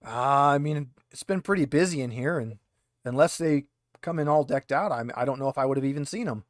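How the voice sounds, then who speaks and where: A man's voice: medium pitch, brisk, dry recording, studio quality, natural voice. A man voicing a woman bartender in her late twenties in a tabletop game, guarded, informal and hesitant, stumbling over words. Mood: wary.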